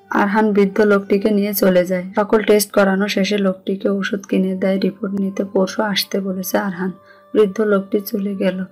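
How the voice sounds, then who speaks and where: A woman's voice: medium pitch, a little mellow. A woman with a Bangladeshi accent reading scripted narration of a romantic story. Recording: music under the voice.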